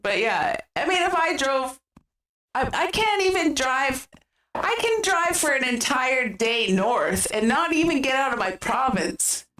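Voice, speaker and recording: A woman's voice: high voice, sing-song, a little slow, a bit bright. A woman with a western Canadian accent, chatting casually and giggly as she livestreams games. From British Columbia, Canada. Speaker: gaming streamer. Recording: roomy, distant microphone sound.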